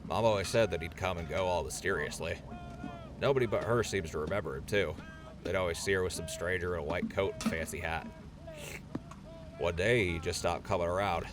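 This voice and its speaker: A man's voice: low-pitched, fairly quick, over music, natural voice. A man playing a nervous, earnest young infantry soldier in an audio drama, shy and hesitant.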